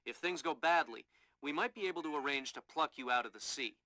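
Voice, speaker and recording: A man's voice: on the high side, a little fast, bright, forceful tone, no echo. A man playing a matter-of-fact operations coordinator briefing an agent in a video game. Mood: businesslike.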